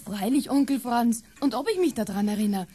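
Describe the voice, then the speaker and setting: An actress as a higher-voiced teenage Bavarian girl. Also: brisk, dark tone, a little hiss or hum, lightly acted. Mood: nostalgic. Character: sixteen-year-old niece, chatty, curious, teasing and sceptical. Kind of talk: naturalistic radio play dialogue.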